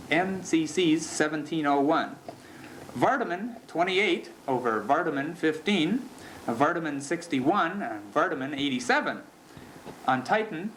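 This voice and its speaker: A man playing a straight-faced sportscaster in a parody newscast, reading scripted sports news in a deadpan way. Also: a little high. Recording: noisy amateur 1970s recording.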